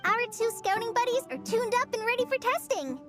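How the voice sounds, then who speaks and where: An earnest young female scout from a video game, speaks in a very high, melodic voice. She sounds polite, businesslike and reassuring, with music playing underneath. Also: expressive, a little fast. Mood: diligent, reassuring. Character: earnest young woman scout running a field office. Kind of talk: video game character dialogue.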